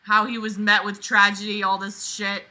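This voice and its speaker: A woman reading aloud and commenting with exasperated, animated, emphatic reactions that swing dramatically in intensity. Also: medium pitch, moderate pace.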